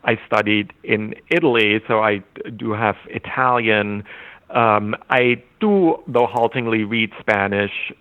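A man whose first language is German, a literature scholar giving thoughtful, halting interview answers with frequent restarts. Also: on the low side, phone-quality sound. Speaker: literature scholar and anthology editor.

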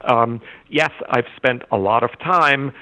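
A man whose first language is German, a literature scholar giving thoughtful, halting interview answers with frequent restarts. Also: mid-range voice, pushed and bright, low-quality recording. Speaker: literature scholar and anthology editor.

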